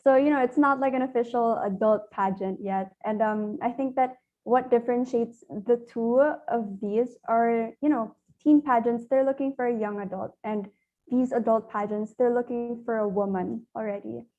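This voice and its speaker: A woman's voice: a little high, fairly even intonation, dark tone. Giggly teenage girl on a video call. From Philippines. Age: teens. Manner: giggly, eager, fast talking. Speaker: pageant contestant. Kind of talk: casual interview over video call. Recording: dull lo-fi video call audio.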